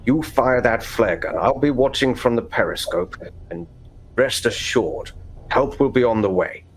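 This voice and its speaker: A man's voice: on the low side, a little hiss or hum, low-quality recording. A man voicing a stern, fretful father who runs an underground vault, in a curt, commanding manner.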